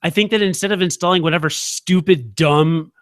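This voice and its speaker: A man co-hosts a casual podcast, cracking sarcastic jokes and playing a mock expert. His voice sits somewhat high for a man. The recording sounds dry and close, with almost no room echo. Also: a little fast, fairly bright tone. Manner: joking, sarcastic, mock-authoritative. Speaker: podcast co-host. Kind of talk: casual chat.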